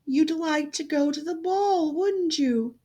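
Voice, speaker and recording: A woman's voice: high voice, slow, darkish tone, voice pushed up. A woman voicing a kindly fairy godmother in a fairytale, speaking in a coaxing, questioning way.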